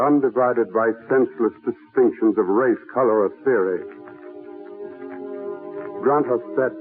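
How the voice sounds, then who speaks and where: A man playing a solemn, visionary voice of mankind, delivering earnest, measured oratory in 1940s radio style. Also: medium pitch, natural voice. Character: solemn, visionary everyman, timeless spirit of mankind. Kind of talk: 1940s radio dramatic recitation, prayer-like. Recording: tinny 1940s radio broadcast with music behind.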